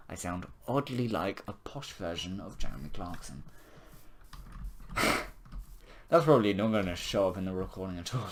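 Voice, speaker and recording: A hoarse young man with a nearly lost voice, chatting casually and mocking himself while he builds in a game. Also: low voice, noisy background. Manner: self-mocking, chuckling, rambling. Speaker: gaming video creator.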